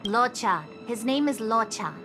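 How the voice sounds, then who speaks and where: A woman's voice: a little high, expressive, a little slow. An actress playing a spirited, curious young woman who teases her companions in a game cutscene. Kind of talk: game voice acting. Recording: game cutscene with music behind.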